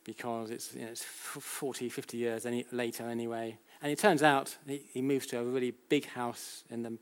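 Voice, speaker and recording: British man lecturing, halting and conversational. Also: mid-range voice, level tone, clear, resonant tone. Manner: halting, self-correcting, with frequent repetitions; conversational, checks in with rhetorical questions. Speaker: academic lecturer. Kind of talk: public lecture, explanatory and anecdotal.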